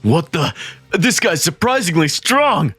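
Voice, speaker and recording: An actor playing a mocking, taunting teenage street thug in a manga voice-over. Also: male voice, a little high, very animated, unhurried, a bit bright, breathy edge, faint music behind, exaggerated voice. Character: sneering teen male street thug.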